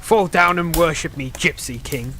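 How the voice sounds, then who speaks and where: A man's voice: fairly high pitch, moderate pace, pushed and bright, a little hiss or hum. A man acting a pompous, hostile and suspicious tavern keeper in a radio play, declaiming in mock-archaic speech. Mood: scornful, suspicious.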